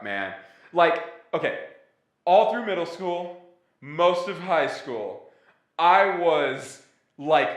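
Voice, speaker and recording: A young man co-hosting a casual podcast. He talks in an animated, teasing way and laughs often. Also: fairly high pitch. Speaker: podcast co-host. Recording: echoey room.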